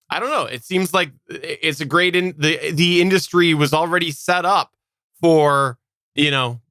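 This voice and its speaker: A man's voice: fairly high pitch, bright, forceful tone, clean tone, dry recording, clean studio sound. A man from Canada co-hosting a podcast, giving his opinions in casual conversation. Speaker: podcast co-host.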